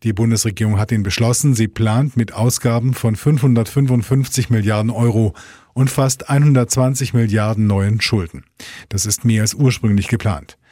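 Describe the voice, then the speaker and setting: A man's voice: fairly low pitch, dark tone, no echo, studio quality. A man reading scripted radio news as the anchor, in standard German.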